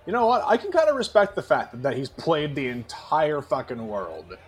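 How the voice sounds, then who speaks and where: A man's voice: a little high, sing-song, normal speed, slightly rough. A man co-hosting a podcast in casual, profane banter, emphatic and repeating himself for effect. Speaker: podcast co-host.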